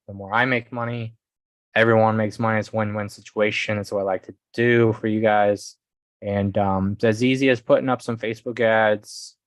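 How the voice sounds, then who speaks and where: A man with a lowish voice hosts a casual livestream in a rambling, informal way. His delivery stays fairly flat in pitch, with little room sound around it. He often tags his sentences with small check-ins to his listeners. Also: no room sound. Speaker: host of a business coaching livestream.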